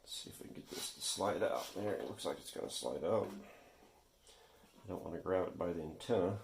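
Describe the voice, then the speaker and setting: A man with a low, gravelly voice gives a casual hands-on demonstration. He talks off the cuff, half to himself, as he works. The room is echoey, with faint noise behind him. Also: fairly quick. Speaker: vintage radio repair video host. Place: indoor workshop.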